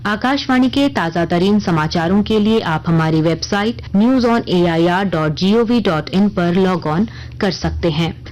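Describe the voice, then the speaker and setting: Female news reader, lower-set voice, formal and measured bulletin delivery. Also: darkish tone, a little hiss or hum, rough recording. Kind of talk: scripted radio news bulletin reading.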